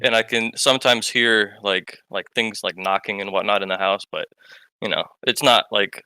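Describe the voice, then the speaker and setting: A man's voice: fairly low pitch, steady tone, moderate pace, bright, forceful tone, smooth, no echo. A man calling into a live stream, telling a ghost story in a rambling way full of filler words. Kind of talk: casual storytelling on a call.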